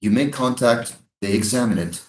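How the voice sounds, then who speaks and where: A man's voice: medium pitch, expressive, moderate pace, lightly acted. Man acting a menacing military commander, echoey room. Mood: condescending. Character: menacing, insinuating American military commander at a prison camp. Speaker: actor in a staged play reading. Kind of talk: live staged reading of a stage drama. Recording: echoey room, live stage reading.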